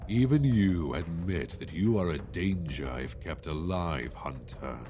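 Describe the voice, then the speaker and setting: Man playing deep, slow, commanding dragon lord. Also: expressive, warm tone, faint music behind. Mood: stern, judging. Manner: grave, formal, imperious. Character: stern commanding male dragon-kin lord passing judgement. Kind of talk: fantasy audio drama acting.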